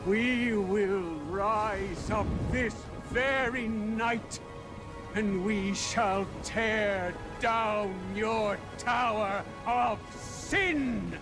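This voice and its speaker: A fanatical, vengeful preacher in a game cutscene. He delivers his sermon extremely slowly in a high, fervent voice with ominous pauses. Music plays clearly behind him. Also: full character voice. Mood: rising righteous fury. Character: fanatical male doomsday preacher vowing vengeance. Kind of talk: theatrical sermon monologue. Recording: loud background music. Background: cinematic music.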